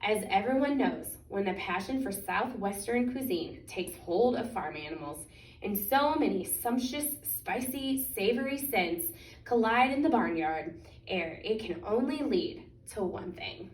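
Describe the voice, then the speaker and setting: A woman reads a picture book aloud for children in an expressive, deliberate way, stretching out key words. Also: medium pitch. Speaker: children's story reader. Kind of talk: animated picture-book storytime reading. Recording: echoey room, distant microphone.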